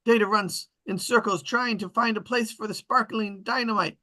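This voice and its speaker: A man's voice: high voice, steady tone, normal speed, pushed and bright. A man reading screenplay stage directions as scripted narration at a table read.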